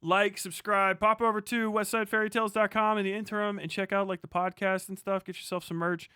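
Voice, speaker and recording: A man's voice: high voice, flat delivery, fairly quick, pushed and bright, clear, resonant tone, no echo, studio quality. A man hosting a casual livestream, talking in a blunt, profane, mocking way. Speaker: livestream host and podcaster. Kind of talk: casual livestream rant and commentary.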